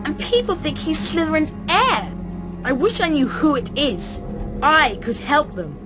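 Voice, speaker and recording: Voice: high voice, sing-song, a little slow, music in the background. An actor playing a sneering, arrogant schoolboy wizard bully in a video game, drawling and impatient. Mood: scornful, gloating.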